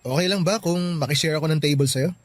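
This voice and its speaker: Man playing considerate young office guy, Filipino accent, fairly high pitch, friendly, radio drama, faint music. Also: quick pace, no room sound, lightly acted. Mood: friendly, attentive. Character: friendly, considerate 29-year-old male bank employee.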